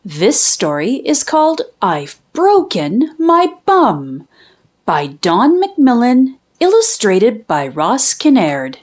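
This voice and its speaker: A high-voiced woman narrates a children's picture book in verse. Her pitch swings widely and she takes her time over the lines. She leans into key words with expressive, dramatic emphasis. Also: slow pace. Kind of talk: storybook read-aloud, rhyming verse.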